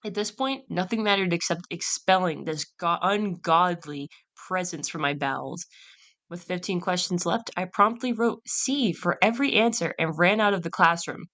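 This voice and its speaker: A woman's voice: lowish voice, moderate pace, a bit bright. A woman hosting a solo podcast, reading aloud and chatting in a casual, storytelling way. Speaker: podcast host.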